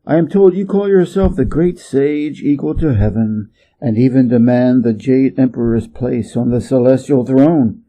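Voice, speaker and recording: A huge, calm holy monk from a storybook, acted by a man reading aloud. He is authoritative and admonishing in a measured way, with a very mellow, husky tone. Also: medium pitch, natural voice, rough recording. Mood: calm authority. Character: huge, serene, authoritative Buddha in monk's robe. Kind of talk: storybook character voice.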